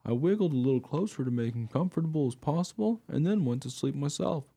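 A man's voice: on the high side, lively intonation, fast, gravelly, mellow, husky, full character voice. A man playing an honest pet dog who tells his own tale in a radio play, earnest and plain-spoken. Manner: plain-spoken, artless storytelling. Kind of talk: first-person radio play narration.

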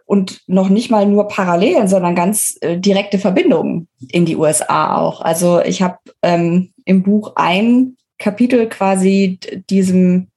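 A woman of medium pitch speaks. She explains her points as an interview guest, articulate and emphatic, while keeping the wording colloquial. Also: steady tone, unhurried, hardly any pauses, a little mellow. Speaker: guest author interviewed about her book. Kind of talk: conversational podcast interview, explanatory.

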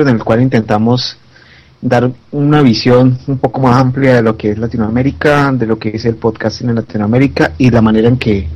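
A man co-hosting a casual group podcast, giving the closing announcement in a measured way. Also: medium pitch, a little mellow, lo-fi sound.

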